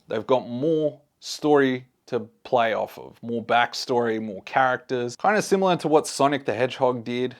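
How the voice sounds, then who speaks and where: A man hosting a casual movie review, speaking conversationally and giving his opinions to his viewers. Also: medium pitch. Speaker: host, film reviewer.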